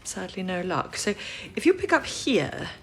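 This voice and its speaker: An actress playing a businesslike, methodical and slightly weary wartime codebreaker in an audio drama. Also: female voice, medium pitch, sing-song, a little slow, slight background noise. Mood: weary, matter-of-fact.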